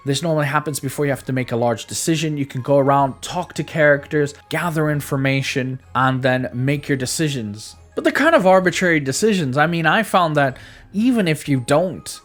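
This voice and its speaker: A man presents a video game review in a fairly high-pitched voice. His narration is scripted but informal, with chatty asides and occasional stumbles over words. Soft music plays behind him. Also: a little fast.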